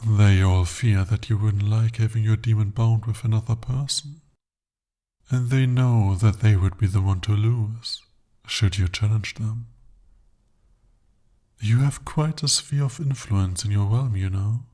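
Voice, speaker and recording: A man's voice: lowish voice, warm tone, close to an everyday voice. A man voicing a devoted, possessive male demon in an ASMR roleplay, speaking formally and intimately. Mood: tender devoted. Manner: formal, intimate, slow-spoken address.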